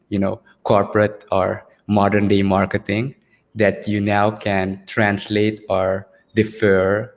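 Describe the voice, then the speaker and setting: Low-voiced male interviewer in a reverberant space. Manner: deliberate, long roundabout questions. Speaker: podcast interviewer. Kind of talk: conversational interviewing. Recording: reverberant room with long echo.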